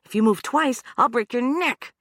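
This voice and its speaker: A woman's voice: sits high, wildly swooping pitch, moderate pace, a bit bright, no echo, studio quality, voice pushed up, heavily acted. A woman narrator voicing a tough, angry woman who swears and threatens a man she has pinned down. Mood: threatening.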